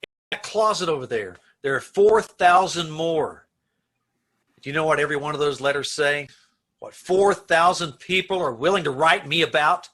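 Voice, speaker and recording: A man acts out an earnest, decent prison warden in an old-time radio play. His voice sits slightly high for a man and is bright and forceful. He speaks with deliberate emphasis, as if appealing to a condemned prisoner. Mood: urgent, pleading. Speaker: amateur radio-troupe actor.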